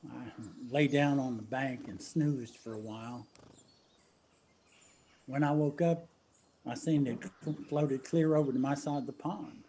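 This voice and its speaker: An actor playing a haunted, grieving coal miner brother in a stage play, plain-spoken and halting, with an Appalachian accent. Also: male voice, medium pitch, steady tone, warm tone, slight background noise, natural voice. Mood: somber, remembering.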